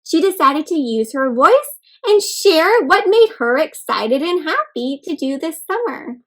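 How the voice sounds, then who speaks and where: A woman with a high voice reads a picture book aloud to young children. She is expressive and encouraging, pausing to put questions to her small listeners and talk directly to them. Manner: addresses listeners as friends. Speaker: children's read-aloud host. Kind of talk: interactive storybook read-aloud for young children.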